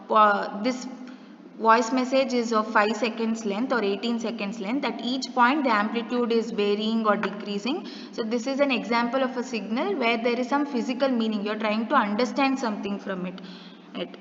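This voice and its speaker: A woman with a fairly high voice lectures in a roomy space that rings with long reverb. She explains step by step, asking her listeners questions and reaching for everyday examples. The recording sounds dull and muffled. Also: fairly bright tone.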